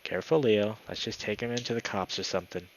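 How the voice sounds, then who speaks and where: A man's voice: on the low side, steady tone, quick pace, slight background noise, natural voice, rough recording. A man voicing a wary, caring male raccoon companion from a visual novel, with a casual manner. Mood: cautious.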